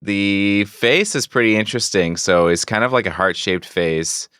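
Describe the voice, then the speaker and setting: A man with a very deep, smooth voice chats casually as a podcast co-host. His tone is bright and forward, in a dry recording. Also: moderate pace, no echo. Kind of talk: casual reactive chat.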